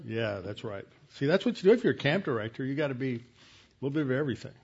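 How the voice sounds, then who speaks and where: A man, a pastor leading a Bible class with announcements, recited verses and prayer, talking in a halting way with many fillers and restarts. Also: mid-range voice, sing-song, a little mellow, husky. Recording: dull lo-fi sound.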